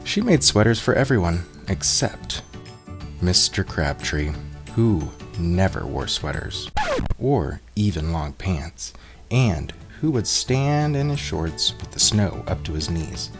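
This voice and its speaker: A man reading a children's picture book aloud in an expressive storytelling style, acting out the characters. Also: low-pitched, slow, over music. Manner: expressive, acts out character voices.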